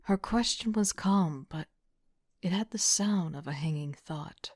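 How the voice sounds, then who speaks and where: Audio drama narrator, female actor: young leader, dry-witted, unhurried, lowish soft breathy delivery, close dry sound. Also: no echo. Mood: introspective.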